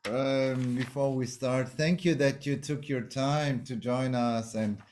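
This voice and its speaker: Slow, halting man hosting in an echoey room. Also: medium pitch, fairly even intonation, a little mellow, a little hiss or hum. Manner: halting, searching for words. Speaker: host. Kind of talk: casual conversational interview.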